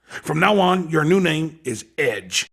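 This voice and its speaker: Raspy, slangy hustler. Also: male voice, fairly high pitch, big pitch swings, slow, fairly bright tone, heavily acted. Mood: cocky, encouraging. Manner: slangy, coaxing salesman patter. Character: Skid, a hustling male street racing recruiter, cocky and slangy. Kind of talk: video game character dialogue.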